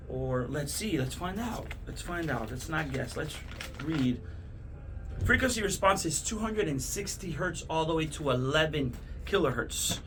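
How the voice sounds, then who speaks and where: A man's voice: a little high, bright, forceful tone, slight background noise. A man hosting a casual product unboxing, talking enthusiastically to viewers in short, punchy, repeated phrases. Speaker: host of product unboxing videos. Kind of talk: casual hype product demo to camera.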